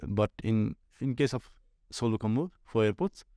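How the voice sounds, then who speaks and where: Male podcast guest, Nepali accent, low-pitched, explanatory, rephrases often, very dry room sound. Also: normal speed, dark tone, no room sound. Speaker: air traffic controller, podcast guest. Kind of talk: conversational interview answers.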